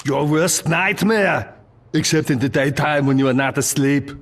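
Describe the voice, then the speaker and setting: High, bombastic action villain. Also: male voice, a little slow. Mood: grandiose menace. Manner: pompous, grandiose, stilted. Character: bombastic over-the-top male action-movie villain. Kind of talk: video game voice acting.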